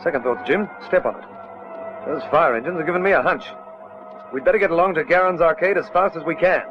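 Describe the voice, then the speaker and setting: An actor playing a calm, firm detective sergeant, measured, dryly courteous and politely insistent, in an old radio drama. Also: male voice, fairly high pitch, close to an everyday voice. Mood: brisk. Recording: dull, noisy old radio drama recording.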